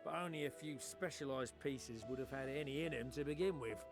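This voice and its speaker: A man playing a rough-spoken, chirpy engineer in a video game, with a colloquial British accent and animated, folksy manner. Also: mid-range voice, brisk, music in the background, close to an everyday voice. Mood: wry, cautionary. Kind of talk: video game cutscene acting.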